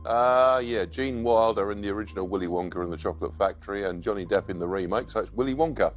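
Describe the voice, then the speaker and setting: A man on a television quiz team answers in a measured, matter-of-fact way. His voice is fairly low. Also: faint music behind. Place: television studio.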